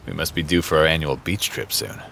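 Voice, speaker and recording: Amiable, very deep-voiced undercover traveler. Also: male voice, fairly even intonation, fairly quick, fairly bright tone, slight background noise. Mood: fond, easygoing. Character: amiable, careful man travelling undercover, politely curious. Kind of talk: audio drama acting.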